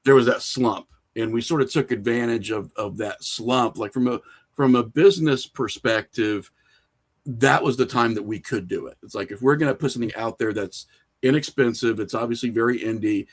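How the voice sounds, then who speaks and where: Man, mid-pitched voice, casual interview guest, informal anecdotal delivery, self-deprecating. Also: normal speed. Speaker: podcast guest, indie filmmaker.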